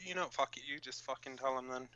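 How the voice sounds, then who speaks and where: A very bright, forceful male voice of middling pitch played by an actor. He is a brash, hot-headed resistance fighter on underground radio, blunt and impatient, speaking informally in a sci-fi audio drama. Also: brisk, highs cut off, lightly acted. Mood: exasperated, angry.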